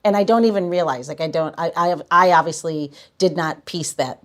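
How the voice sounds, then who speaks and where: A woman's voice: deep voice, brisk. A woman co-hosting a podcast, chatting casually and reflecting aloud in a rambling, self-correcting way. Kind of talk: casual chat.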